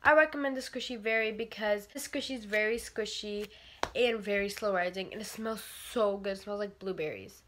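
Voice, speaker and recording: A woman's voice: mid-range voice, fairly quick, a bit bright, slight background noise. A teenage girl chatting casually in her bedroom, reviewing toys while congested from a cold, rambling with many fillers. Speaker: vlogger. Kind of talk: casual product review vlog. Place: bedroom, indoors.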